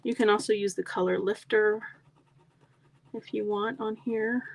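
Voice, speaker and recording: A woman's voice: mid-range voice, fairly even intonation, unhurried, vocal fry. A middle-aged woman demonstrating card making in a live tutorial, chatty and friendly, with a slightly froggy voice. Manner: chatty, friendly asides to viewers.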